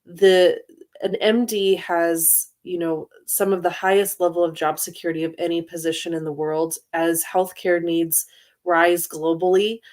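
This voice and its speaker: A woman presenting in a webinar about study programs in an informative, steady way, with frequent hesitation fillers, over a computer mic. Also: on the low side, a little slow. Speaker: university international student services director, presenter.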